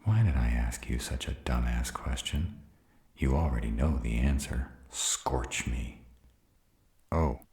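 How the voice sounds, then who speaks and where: Very deep, husky sardonic vampire played by a man. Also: darkish tone, dry recording, studio quality, exaggerated voice. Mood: awkward, vulnerable, then wry, guarded. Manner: sardonic, exasperated, halting when vulnerable. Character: sarcastic brooding male vampire, proud, guarded, secretly smitten. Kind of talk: intimate roleplay audio drama.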